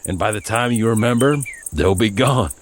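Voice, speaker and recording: A man's voice: fairly low pitch, moderate pace, slight background noise, close to an everyday voice. Man acting folksy old American country grandfather. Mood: confiding, sure. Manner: folksy, terse, confiding. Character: old rural Virginia grandfather, kindly and sure.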